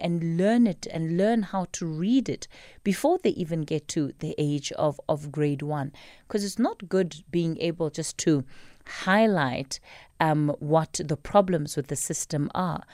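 Woman, South African accent, radio talk host, lower-pitched, measured and emphatic, close dry studio sound. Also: mellow, dry recording. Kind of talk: live radio talk and interview.

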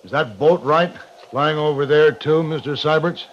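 A man's voice: a little high, moderate pace, close to an everyday voice. A man acting a firm, terse cavalry captain in a 1950s radio western, with an American accent and controlled military delivery. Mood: brisk, commanding. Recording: muffled noisy 1950s radio recording.

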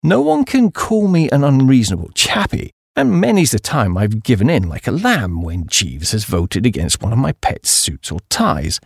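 A man acts out a flighty young English toff from a comic audiobook. His upper-class British voice swoops wildly with breezy, slangy emphasis. It has a gravelly, husky edge and a dry, close sound. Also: medium pitch, wildly swooping pitch, moderate pace, hardly any pauses, no room sound, heavily acted. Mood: chatty, earnest. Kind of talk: first-person comic audiobook narration in character.

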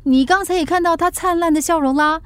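一位女演员在广播剧里饰演嘴硬心软、爱顶嘴的年轻女快递员，带新加坡口音。. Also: female voice, very high-pitched, fairly bright tone, dry recording. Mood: prickly, teasing. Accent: Singaporean. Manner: brusque, teasing, quick retorts. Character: spirited young woman courier, prickly but kind-hearted. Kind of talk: radio drama dialogue.

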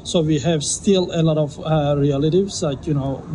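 A man from Turkey with a Turkish accent, a restaurant owner speaking haltingly with many fillers in a news interview. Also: a little high, fairly even intonation, dark tone, slight background noise.

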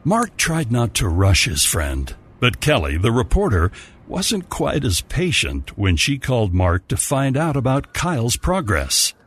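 A man's voice: mid-range voice, huge pitch swings, unhurried, slightly rough. A man narrating a radio drama from a script as a storyteller.

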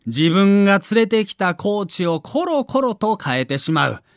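A man's voice: sits high, sing-song, normal speed, dry recording, studio quality. A man reading scripted audio documentary narration in a dramatic, emphatic storytelling manner.

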